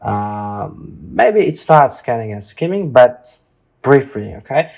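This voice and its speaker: A man with a mid-pitched voice gives an informal lesson as a tutor. He speaks slowly and haltingly, feeling for words and often adding a quick check for agreement. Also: very animated, vocal fry, a little mellow. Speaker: English exam tutor. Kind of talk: informal lesson, walking through a reading test.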